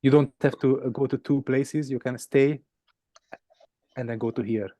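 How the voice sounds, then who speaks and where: A man's voice: medium pitch, fairly even intonation, moderate pace, mellow, dry recording. A man with a Hungarian accent, a native Hungarian speaker, patiently explaining game puzzles informally and rephrasing as he goes. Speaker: game teacher and presenter.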